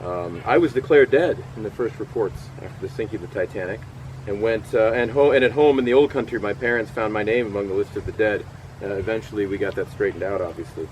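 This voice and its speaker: A middle-aged man giving a first-person historical portrayal outdoors, telling his story with frequent pauses and fillers. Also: on the low side, a little mellow, a little hiss or hum, natural voice, poor audio. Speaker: historical portrayer at a cemetery event. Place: outdoors in a cemetery. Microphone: far camera.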